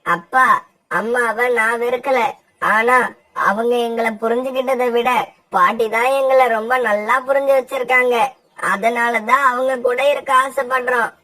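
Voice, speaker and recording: A woman's voice: fairly high pitch, bright, forceful tone, recorded from a distance. A woman voicing a stubborn, earnest young bird child in a cartoon.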